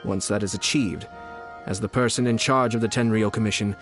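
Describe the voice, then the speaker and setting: An actor playing a calm, shrewd young nobleman who explains schemes in a measured, deliberate way for a video game. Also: lowish voice, natural voice. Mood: calm, persuasive. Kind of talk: English video game voice acting. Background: background music.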